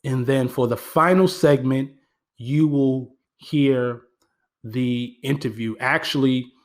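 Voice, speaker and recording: A man introducing his community radio program. He speaks earnestly and steadily, dropping in the odd "um" as he goes. His voice sits at an ordinary middle pitch. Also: slow pace. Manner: steady, earnest, with frequent fillers. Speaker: radio show host.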